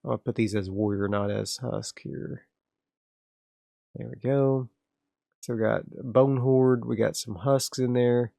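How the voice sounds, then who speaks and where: A man's voice: medium pitch, takes it slowly, gravelly, long pauses, dry recording. A man playing a solo tabletop game, casually narrating and thinking aloud as he sets up his next area. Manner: relaxed, rambling, frequent restarts.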